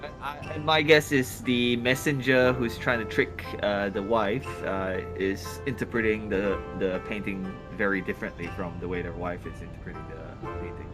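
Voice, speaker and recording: A man's voice: on the low side, steady tone, moderate pace, hardly any pauses. A man chatting casually, with a hesitant delivery that often stops and repeats words. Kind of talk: casual chat. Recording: music playing underneath.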